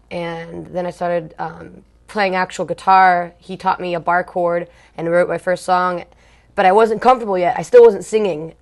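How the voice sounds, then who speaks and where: Loose, chatty young woman from Long Beach. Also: fairly low pitch. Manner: blunt, slangy, swears casually. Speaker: punk band singer, interview guest. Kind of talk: casual storytelling interview.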